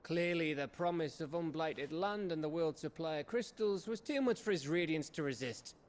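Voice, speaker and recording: A man's voice: fairly high pitch, normal speed, a little hiss or hum, lightly acted. An actor playing a cheeky, foul-mouthed male spy, chatty and joking with an old friend.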